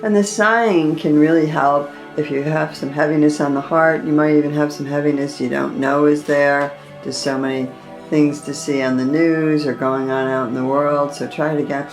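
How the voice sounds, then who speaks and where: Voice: medium pitch, flat delivery, soft background music. A meditation guide leading a chakra session, drawing out the breathing cues. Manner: slow, drawn-out breathing cues.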